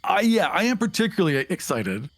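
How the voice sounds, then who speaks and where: A podcast co-host introducing a guest and chatting with enthusiasm and frequent laughter. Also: male voice, high-pitched, very animated, fairly quick, no room sound. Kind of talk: casual chat.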